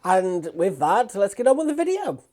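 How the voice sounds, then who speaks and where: A woman's voice: medium pitch, very animated, moderate pace, music in the background. A woman hosting a reaction video with a blocked nose, chatting casually and apologetically to viewers. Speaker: reaction channel host.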